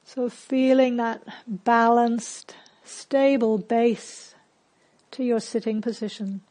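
Female meditation guide, fairly high voice, very slow delivery, calm gentle instruction with spacious pauses. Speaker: meditation teacher.

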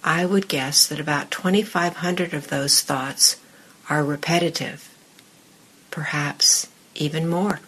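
A woman meditation teacher speaking to a group in measured, deliberate short phrases with pauses, her voice husky and breathy. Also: low voice, fairly even intonation, noisy background. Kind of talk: guided meditation and dharma talk to a group in the room.

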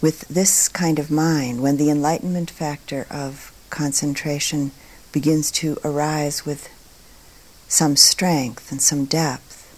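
A woman teaching meditation, speaking calmly and deliberately in short phrases with long pauses between them. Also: low-pitched. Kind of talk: guided meditation talk.

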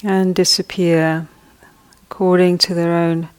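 Slow, even-toned woman guiding meditation. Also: fairly low pitch, clean tone, low-quality recording. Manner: slow, soft, gently paced instructions with long pauses. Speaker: meditation teacher. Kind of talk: guided meditation.

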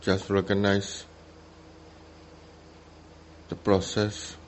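A man with a Burmese accent leads a guided meditation in a fairly low voice. He speaks very slowly, in short, simple phrases. Long pauses separate his instructions. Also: monotone, a little hiss or hum. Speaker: meditation teacher, Buddhist monk.

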